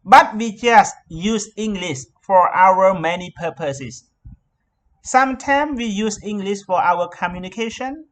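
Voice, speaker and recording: A man's voice: sits high, measured pace, fairly bright tone. A man from Cambodia with a Khmer accent, lecturing an online class over a video call and explaining with many "okay" and "so" fillers.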